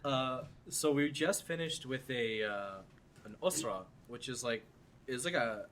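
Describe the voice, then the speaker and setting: A man's voice: medium pitch, animated, a little slow, crowd noise. A seventeen-year-old man hosting a casual podcast chat, rambling with lots of fillers. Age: teens. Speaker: podcast host.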